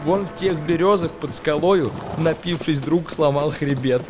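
A man's voice: on the high side, measured pace. Theatrical male storyteller over prominent music. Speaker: actor narrating a tale. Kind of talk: dramatic verse recitation for radio play.

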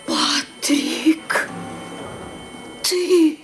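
An actress playing a brave, secretive old aunt in a radio play, speaking in halting, deliberate phrases. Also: female voice, extremely high pitch, wildly swooping pitch, soft background music, exaggerated voice. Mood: terrified whisper.

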